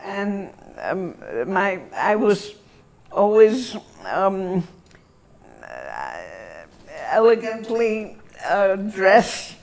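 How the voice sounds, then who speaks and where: Very slow-talking elderly woman, halting. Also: mid-range voice, very animated, a little mellow. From Antwerp, Belgium. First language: German. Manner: halting, searching for words with long pauses. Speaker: interviewee. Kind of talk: reminiscing in a personal interview.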